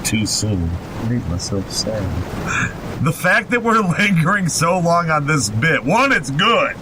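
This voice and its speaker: A man's voice: very animated, unhurried. A man co-hosting a podcast in casual, joking banter with sardonic asides and easy swearing. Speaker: podcast co-host. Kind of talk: casual banter while reading and mocking an online horror story. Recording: noisy, constant low rumble.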